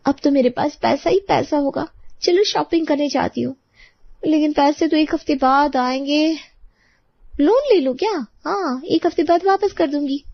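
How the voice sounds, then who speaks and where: A woman voicing a boastful, spendthrift neighbour in an animated family drama, showy and melodramatic. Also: high voice, moderate pace, no room sound, highs cut off. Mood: gleeful.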